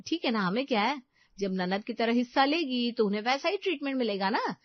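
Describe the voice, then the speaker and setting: Woman acting bossy, scolding young wife, raised pitch. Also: animated, fairly quick, no room sound, lo-fi sound. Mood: resentful. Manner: scolding, emphatic. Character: bossy, resentful young sister-in-law and wife.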